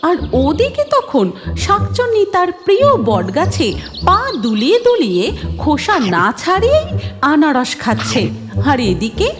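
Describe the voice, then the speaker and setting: High-pitched, animated woman storyteller. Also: normal speed. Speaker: narrator. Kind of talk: scripted cartoon story narration. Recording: music behind the voice.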